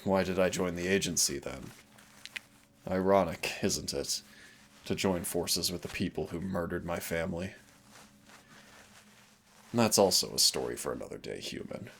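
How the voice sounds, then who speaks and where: A man playing a centuries-old demon hunter, teasing and rebellious yet wistful and protective, in an intimate audio roleplay with a gravelly voice. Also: lively intonation, moderate pace, long pauses, fairly bright tone, a little hiss or hum, heavily acted. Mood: grieving, bitter. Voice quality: very low, gravelly creaky voice. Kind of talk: intimate second-person audio roleplay acting.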